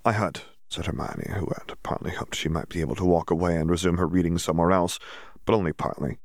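A man's voice: deep voice, fairly even intonation, quick pace, gravelly, a little mellow, dry recording, studio quality. A man reading a fiction audiobook as its narrator, in scripted storytelling style.

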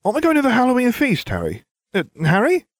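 A man voicing a whiny, excitable schoolboy sidekick who pleads and complains. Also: very high voice, quick pace, dry recording, studio quality, pitched above the performer's own voice, full character voice. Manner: whining, pleading.

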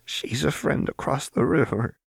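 A fragile, haunted young woman as a male narrator acts her in an audio story. The voice is lifted well above the reader's own, hushed and breathy, with a dazed, pleading manner and a dry, close sound. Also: medium pitch, unhurried, dry recording, pitched above the performer's own voice. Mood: dazed, sing-song.

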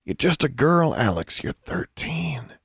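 A man's voice: fairly low pitch, a little fast, no echo, clean studio sound, natural voice. A man voicing a hot-tempered, protective young wizard in an audiobook story, with a growling, snappish manner. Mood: grave, pleading. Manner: growling, snapping, sighing through clenched teeth.

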